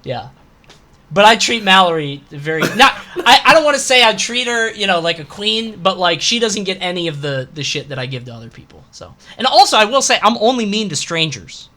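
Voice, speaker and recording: A man's voice: high-pitched, very animated, a little fast, pushed and bright. A man co-hosting a podcast, reading out questions and bantering casually in a blunt, sarcastic, sweary way.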